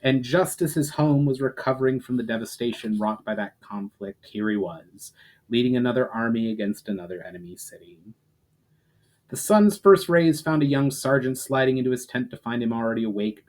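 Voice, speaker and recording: A man reading fantasy fiction aloud as a dramatic, measured narration. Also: mid-range voice, darkish tone.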